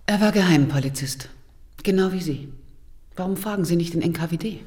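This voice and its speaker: An actress playing a composed, pointed widow of a Soviet leader in a German radio crime drama. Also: female voice, on the low side, fairly quick, darkish tone, close to an everyday voice. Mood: guarded.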